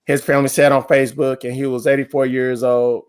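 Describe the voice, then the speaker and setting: A man co-hosting a casual podcast, telling animated stories and trash-talking his friends in slangy banter. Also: mid-range voice, fairly quick, clean tone. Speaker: podcast co-host.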